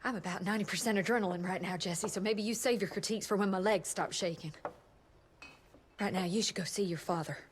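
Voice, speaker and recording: An actress voicing a sharp-tongued, clever doctor who speaks in a brisk, precise way. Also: female voice, medium pitch, a little hiss or hum, lightly acted.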